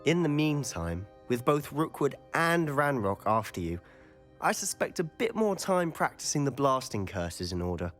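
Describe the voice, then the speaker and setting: An eager schoolboy wizard. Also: male voice, medium pitch, moderate pace, soft background music. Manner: lively, confiding, curious.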